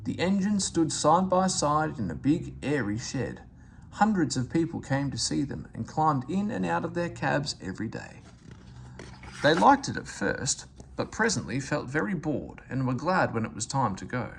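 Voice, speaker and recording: A man's voice: fairly high pitch, sing-song, moderate pace, gravelly. A man narrating a children's story aloud as a read-aloud reader, with faint noise in the recording.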